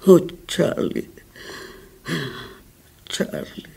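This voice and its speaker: An actress playing a sick, drunken, embittered fading prostitute in a Greek radio drama, low and weary, rambling and repeating herself. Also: female voice, warm tone, lightly acted. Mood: anguished, longing. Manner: rambling, repetitive, volatile. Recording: old radio recording with hiss and clicks.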